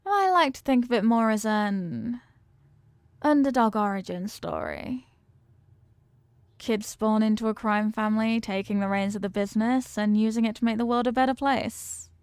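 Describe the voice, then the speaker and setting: Woman voicing a female mafia boss, doting girlfriend, higher-pitched, close dry sound, tough yet tender, slangy pet names, romantic ASMR roleplay. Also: moderate pace, smooth, dry recording, lightly acted. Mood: proud, hopeful.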